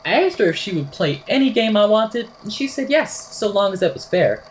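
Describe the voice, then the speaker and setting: A woman's voice: mid-range voice, big pitch swings, normal speed, slight background noise, lightly acted, low-quality recording. A woman playing an eccentric, whimsical podcaster in an amateur audio drama, telling a strange tale in a verbose, wry, theatrical way. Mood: storytelling, wry. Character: eccentric, whimsical podcaster recounting a supernatural statement.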